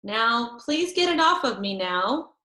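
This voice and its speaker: A woman's voice: a little high, big pitch swings, slow pace, bright, forceful tone, heavily acted. A woman voicing a wide-eyed, frank young schoolboy in a children's storybook, with a blunt, questioning manner. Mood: politely put off. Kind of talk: children's storybook read-aloud. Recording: roomy echo.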